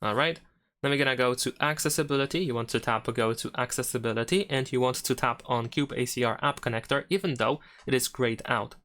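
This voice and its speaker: A man's voice: mid-range voice, fairly bright tone. A man hosting a tech tutorial, explaining steps in a casual, easygoing way as he goes along. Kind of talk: casual step-by-step tutorial narration.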